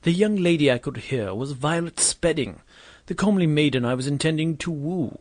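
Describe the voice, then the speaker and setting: A man's voice: a little high, animated, moderate pace, darkish tone, dry recording, close to an everyday voice. A man playing a grumpy, vain Victorian doctor who narrates his misadventures in a pompous, wordy way for an audio drama. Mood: wry, self-important. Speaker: narrator and lead character. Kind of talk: scripted first-person audio drama narration and dialogue.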